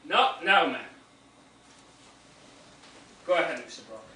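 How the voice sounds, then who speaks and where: An actor playing a brisk, official police officer in a comic stage play, recorded in an echoey theatre. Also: male voice, a little high, lively intonation, pushed and bright. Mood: businesslike. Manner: official, commanding. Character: brisk, businesslike male police officer taking a statement. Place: indoor stage of a small theatre. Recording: echoey theatre, distant mic, some noise.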